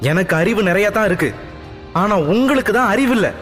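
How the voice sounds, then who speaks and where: A man's voice: sits high, expressive, moderate pace, big-room sound, soft background music, pitched above the performer's own voice. A man voicing a proud, headstrong young princess who argues indignantly with her parents. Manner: indignant, tearful pleading.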